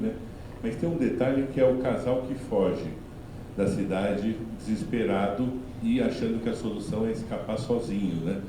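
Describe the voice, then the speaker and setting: A man with a lowish voice comments in a reflective, measured way. His voice bounces around a very echoey hall, with clear noise underneath. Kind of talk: casual discussion after a film screening.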